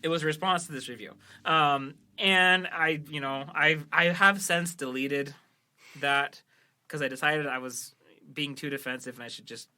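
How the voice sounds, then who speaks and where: A man's voice: a little high, moderate pace, sharp tone, clean tone. A man hosting a podcast in casual banter, chatting and reading out listener reviews. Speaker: podcast host and creator of an amateur audio drama.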